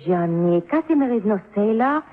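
An actress in a 1950s radio drama playing a matter-of-fact, coaxing informant in simple broken English with a French accent. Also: female voice, medium pitch, expressive, moderate pace, natural voice. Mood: confiding. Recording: narrow phone-like 1950s radio recording.

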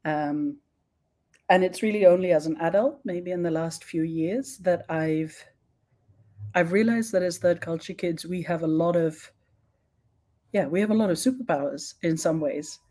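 A young woman speaking as a guest expert in an online talk, thoughtful, pausing often with ums and restarting her sentences. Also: fairly low pitch, mellow. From born in Sweden, raised in several countries.

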